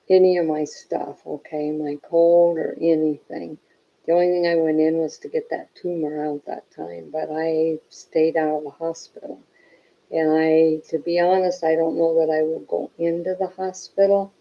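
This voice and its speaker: A woman giving viewers a chatty health update, her voice weak and raspy from a weak diaphragm and full of "okay?" check-ins. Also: low voice, mellow, dull audio. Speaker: vlogger.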